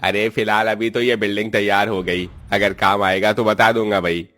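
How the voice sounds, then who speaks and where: A man acts out a brusque, bullying overseer from a cartoon story. His voice is on the low side and gruff in manner, scolding as he orders people around. Faint music plays underneath. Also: little pitch movement, moderate pace, bright, forceful tone. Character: bossy, gruff male overseer and threatening landlord.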